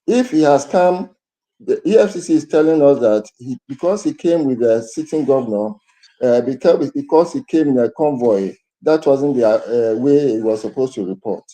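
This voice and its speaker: A man gives news commentary as a panelist in a very dark, soft-edged voice of medium pitch. His delivery is halting, with repeated words as he builds his point. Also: low-quality recording.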